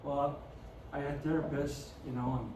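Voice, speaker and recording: A man's voice: a little high, level tone, a little slow. Middle-aged man, stutters and restarts a lot, personal talk; very echoey hall, mic set at a distance. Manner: stutters, many restarts and fillers. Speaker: speaker telling his story. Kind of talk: personal story talk to an audience. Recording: very echoey, far from the mic, noisy.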